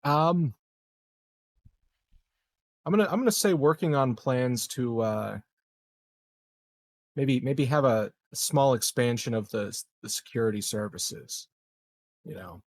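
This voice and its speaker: A man playing a tabletop game, planning casually in a tentative, halting way with repeated words. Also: mid-range voice, animated.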